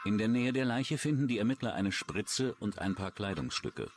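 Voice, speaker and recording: Deep-voiced male true-crime narrator, lively intonation. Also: normal speed, noisy background. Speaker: documentary narrator.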